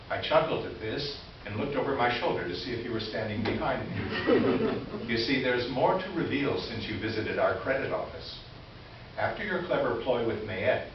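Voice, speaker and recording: A man's voice: medium pitch, dull audio, lightly acted. A man playing a courtly, gleeful older store employee in a staged letter reading, with a genteel, theatrical delivery. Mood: pleased, courteous. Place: live stage presentation in a roomy venue. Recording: very echoey, distant, noisy live stage recording.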